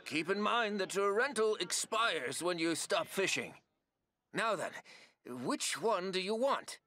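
A man's voice: high-pitched, lively intonation, unhurried, pushed and bright, heavily acted. A man playing a chipper, folksy old fishing shopkeeper in a video game, with an eager sales manner. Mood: chipper, inviting.

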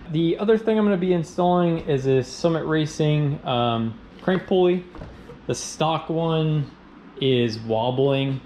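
A young man hosting a car vlog from his home garage, talking through his project plans in a relaxed, informal way with plenty of ums. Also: mid-range voice, slight background noise. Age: young adult. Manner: relaxed and informal, many ums, talks shop in loose run-on lists. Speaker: car channel host. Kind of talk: casual garage walkthrough vlog.

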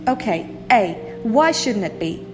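A woman's voice: mid-range voice, sing-song, slow pace, big-room sound, music in the background. A woman playing a bored, sarcastic twenty-one-year-old daughter in an audio drama, with a dry, argumentative manner. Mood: exasperated.

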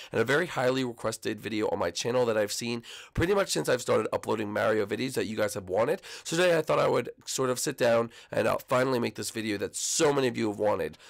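A young man hosting a gaming channel, talking casually with a hoarse, froggy voice and lots of rambling fillers. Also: medium pitch. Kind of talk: casual video commentary.

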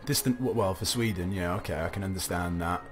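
Man, low voice, slightly gravelly, recovering from cough, casual let's play commentary, faint background music. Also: quick pace. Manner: musing aloud, chatty, self-talking. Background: faint game music.

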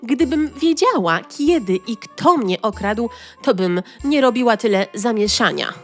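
A woman voicing a panicky, dramatic little girl who talks in shrill outbursts. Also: animated, measured pace, faint music behind. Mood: indignant.

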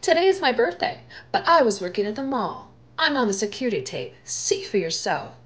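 Storybook young townswoman read by a woman, worried, earnest, varied pitch. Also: big pitch swings, a little fast. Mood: defensive.

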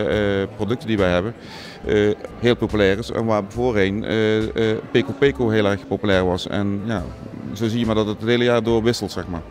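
A middle-aged man, a catering account manager, answering interview questions hesitantly with many fillers in a university canteen. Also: lowish voice. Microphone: handheld microphone held by interviewer.

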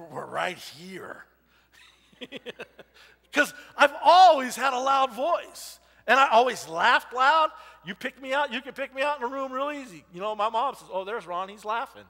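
A man in his forties preaching an informal sermon in a church, talking loudly and animatedly while he walks among the audience. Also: very high voice. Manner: loud and animated, walking the stage and aisles. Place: church sanctuary with a stage. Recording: long reverb of a church hall.

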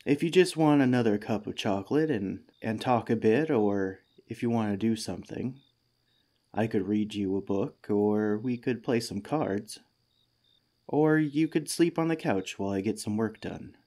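A man playing a kindly, patient head teacher in a gentle, soothing ASMR comfort roleplay. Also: lowish voice, moderate pace. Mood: tender, comforting.

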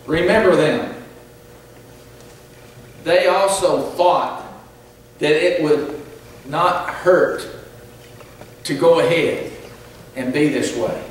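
Southern pastor preaching slow and earnest, kinda high voice, boomy church, mic far off. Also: male voice, fairly bright tone, slight background noise. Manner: earnest, measured preaching with pauses. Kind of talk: sermon. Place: large church sanctuary, at a pulpit. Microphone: pulpit mic, filmed from far back. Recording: echoey church hall from far off.

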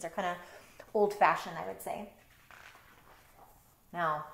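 Middle-aged woman reading aloud, roomy echo. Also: mid-range voice. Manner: conversational, explanatory asides. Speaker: storytime reader, teacher. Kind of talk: picture book read-aloud with chatty asides. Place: bedroom at home.

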